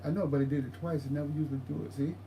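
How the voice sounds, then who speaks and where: A man co-hosting a paranormal livestream, talking casually, halting and repeating words as he explains his ideas. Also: a little high, steady tone, noisy background.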